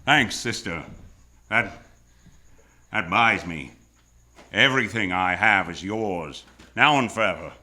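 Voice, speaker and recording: A man's voice: on the low side, lively intonation, takes it slowly, pauses often, bright, forceful tone, a little hiss or hum, full character voice. An actor with an American accent playing a tough, wisecracking hardboiled hero who tells his story in a noir radio drama, wry and terse. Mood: brisk gratitude. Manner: wry, terse, world-weary. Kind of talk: first-person noir radio drama acting.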